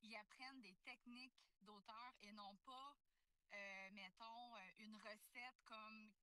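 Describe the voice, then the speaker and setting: A woman's voice: on the high side, steady tone, slow pace, sharp tone, no room sound. A woman with a Quebec French accent, a teacher guest explaining things casually and spontaneously from a parked car.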